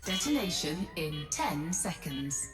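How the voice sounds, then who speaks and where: A woman voicing a calm female ship computer that reads out clipped status announcements. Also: low-pitched, takes it slowly, heavily acted. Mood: announcing. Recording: roomy distant sound under loud music.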